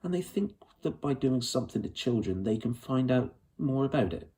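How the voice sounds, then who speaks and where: A man voicing a frail old wise man in a children's storybook, gentle and curious, with a rich, musical tone. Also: medium pitch, big pitch swings, normal speed, recorded from a distance, pitched above the performer's own voice, natural voice. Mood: probing.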